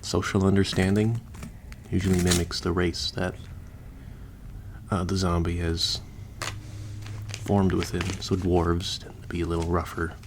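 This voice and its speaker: A man's voice: low-pitched, level tone, unhurried, pauses often, background noise. A man playing a chatty, easygoing surgeon who patches up the undead, explaining casually as he works in a listener roleplay.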